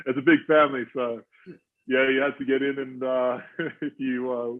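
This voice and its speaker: A thirty-year-old Australian man, a rugby player, talking as an interview guest in a halting way with plenty of fillers. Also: medium pitch, little pitch movement. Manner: halting, filler-heavy storytelling. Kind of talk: casual interview chat. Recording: tinny phone-like line.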